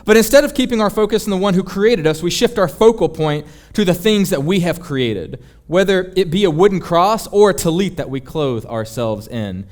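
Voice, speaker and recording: A man's voice: high-pitched, lively intonation, moderate pace, few pauses. A man preaching a sermon in an emphatic, rhetorical and confessional manner. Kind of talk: sermon, teaching.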